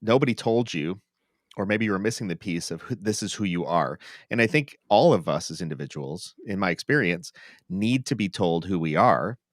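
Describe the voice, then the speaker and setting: A man's voice: low-pitched, expressive, normal speed, no room sound, clean studio sound. A man hosting a podcast in casual conversation, reflecting thoughtfully and affirming the person he talks with. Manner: affirming, reflective, checks in with "right?". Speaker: podcast host. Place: online video call for a livestream podcast.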